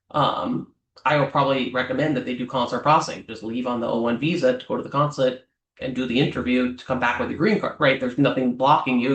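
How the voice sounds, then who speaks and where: A man speaking as an immigration lawyer, giving advice to clients off the cuff and often checking in with a quick "right?". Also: medium pitch, fairly bright tone. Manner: talks off the cuff, ends many points with a questioning "right?"; frequent "um" fillers and restarts. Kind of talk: explanatory advice talk to clients. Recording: roomy echo.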